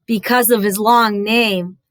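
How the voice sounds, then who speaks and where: A woman reading a story aloud to young children, expressive and dramatic, with instructive explanatory asides. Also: mid-range voice, slow pace, no echo, studio quality.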